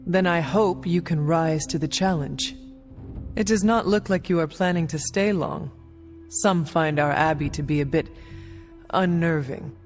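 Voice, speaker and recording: An actress playing a poised, curious warrior woman in a video game, speaking in a formal, measured way. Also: low-pitched, soft background music. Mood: composed, curious. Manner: formal, measured, few contractions. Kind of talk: video game cutscene dialogue.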